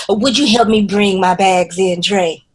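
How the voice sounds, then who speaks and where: An actress playing an emotional, pious long-lost daughter in an audio drama, with a melodramatic, drawn-out delivery. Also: female voice, medium pitch, slight background noise, lightly acted.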